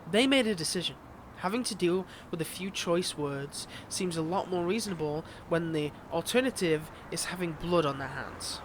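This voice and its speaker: A man's voice: on the high side, expressive, natural voice. Man playing a taunting flirty villain ex, noisy backdrop. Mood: taunting, resentful. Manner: teasing, mocking, drawn-out delivery with pet names. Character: flirtatious, taunting male villain, a bitter scorned ex-lover. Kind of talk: dramatic audio roleplay acting addressed to listener.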